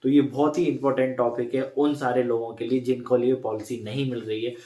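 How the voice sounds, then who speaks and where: A man's voice: mid-range voice, flat delivery, fairly quick, mellow. Man explaining in an echoey room. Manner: chatty, repeats points, corrects himself mid-sentence. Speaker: insurance advisor vlogger. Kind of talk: casual explainer to camera.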